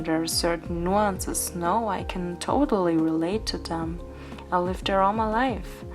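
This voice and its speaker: A woman from Austria, a native German speaker, rambling casually in a craft vlog voice-over. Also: fairly low pitch. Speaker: craft vlogger. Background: background music.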